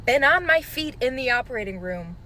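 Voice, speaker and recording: A woman's voice: high voice, lively intonation, brisk, sharp tone, slight background noise. A weary, frustrated woman who works in an operating room, venting to camera.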